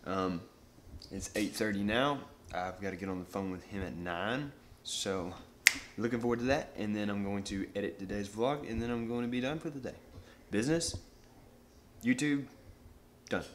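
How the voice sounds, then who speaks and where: A man's voice: fairly low pitch, normal speed, a little hiss or hum. A young adult man chatting casually to camera as a vlogger, upbeat and slangy, in short punchy phrases. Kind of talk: casual vlog chat to camera. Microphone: camera at arm's length or on table.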